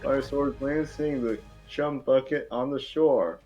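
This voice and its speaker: A man's voice: medium pitch, steady tone, takes it slowly, mellow. Man narrating, slightly muffled, over music. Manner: stumbles over the script. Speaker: narrator reading stage directions. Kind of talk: amateur script table read.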